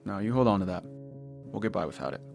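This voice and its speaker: A very deep, blunt man speaks in quick, clipped bursts, as an actor plays him. He is set back from the mic with music under him. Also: expressive, creaky, a little mellow. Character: gruff impatient adult man, John.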